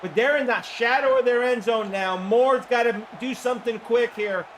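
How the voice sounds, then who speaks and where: A man calling a simulated football game on a live stream, hoarse from the flu, chatting with viewers and breaking into excited shouts on big plays. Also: very high-pitched, normal speed, slight background noise. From Florida. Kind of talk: live-stream play-by-play commentary.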